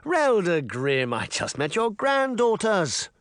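A man's voice: high voice, wildly swooping pitch, takes it slowly, a bit bright, dry recording, studio quality, pitched above the performer's own voice, full character voice. A man voicing a pompous, sneering male mayor who bullies everyone around him, with a raised, condescending voice.

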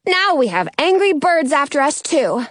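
A woman voices a wary young storybook heroine who is frustrated and protesting. The tone is whiny and very high, with animated pitch, captured close and dry. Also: measured pace, no echo, full character voice. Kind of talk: children's storybook character voice.

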